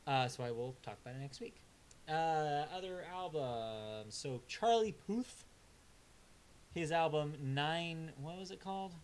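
A man's voice: fairly high pitch, expressive, measured pace, slight background noise. A man co-hosting a casual album review chat, laid-back and hesitant with lots of filler sounds, delivering blunt, dry verdicts. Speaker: music review co-host.

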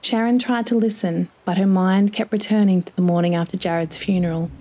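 A woman's voice: mid-range voice, steady tone, brisk, dark tone. A woman reading a scripted story as its narrator.

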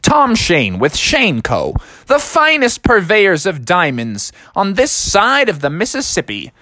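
Man doing a slow-talking kindly old salesman. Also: high voice, wildly swooping pitch, bright, forceful tone, no echo, pitched above the performer's own voice, heavily acted. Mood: smug, welcoming. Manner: slow, drawn-out salesman delivery.

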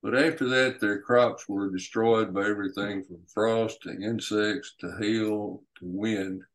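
A man, an author and guest, telling history unscripted in a halting, deliberate way with frequent pauses and hesitations. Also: fairly low pitch, steady tone, rough recording.

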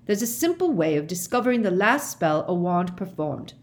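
A woman's voice: on the low side, big pitch swings, moderate pace, voice pushed up. A woman voicing a blustering middle-aged male wizard official who barks and growls his accusations. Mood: harsh accusing. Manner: barking, growling, loud accusations.

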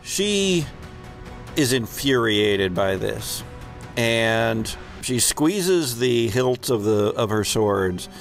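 A man hosting a tabletop game as game master, improvising descriptive, dramatic narration in a halting way. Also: medium pitch, sing-song, faint music behind.

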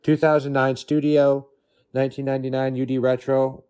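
A man giving a prepared guest segment, earnest and steady, reading from notes. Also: medium pitch, normal speed, smooth. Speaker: guest contributor. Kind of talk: prepared spoken submission.

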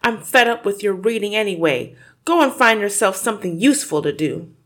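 A woman's voice: mid-range voice, lively intonation, a little fast, pitched above the performer's own voice. A woman voicing a blustering, bullying middle-aged father who snaps and barks his lines in a storybook reading. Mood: angry. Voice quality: loud gruff barking.

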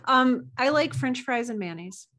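A woman's voice: high voice, animated, a little slow, fairly bright tone. An American woman chatting casually as a podcast guest, friendly and self-deprecating. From United States. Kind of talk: casual chat.

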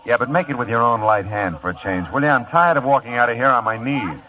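A man's voice: medium pitch, fast talker, natural voice. An actor playing a dry, wisecracking private detective who narrates his own case in an old radio drama. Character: hard-boiled wisecracking male private eye. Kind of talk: 1950s radio drama acting. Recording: tinny lo-fi old radio broadcast.

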